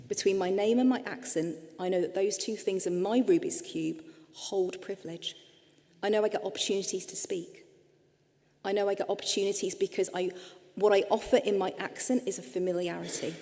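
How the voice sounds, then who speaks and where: An English-accented woman in middle age gives a slide presentation, explaining earnestly and turning to her listeners often. Her voice is mellow and darkly coloured. It rings out with the long reverb of a hall. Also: medium pitch. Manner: earnest, explanatory, addresses audience directly. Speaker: clinician giving a presentation. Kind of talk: lecture-style presentation with slides.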